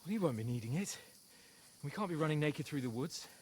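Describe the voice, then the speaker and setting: Young nobleman played by a man, loyal, urgent, faltering, slightly high voice, video game drama, faint background ambience. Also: expressive, brisk, slight background noise, lightly acted. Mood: brisk. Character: loyal young nobleman urging a wounded friend onward.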